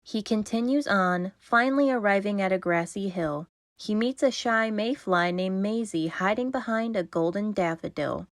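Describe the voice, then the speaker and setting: A woman narrator reading children's storybooks aloud in an expressive, scripted style. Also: medium pitch, normal speed, dry recording, clean studio sound.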